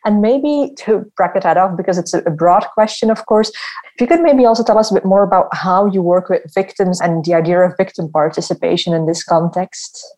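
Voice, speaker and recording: Mellow woman podcast host, fairly low. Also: brisk, low-quality recording. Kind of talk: conversational hosting.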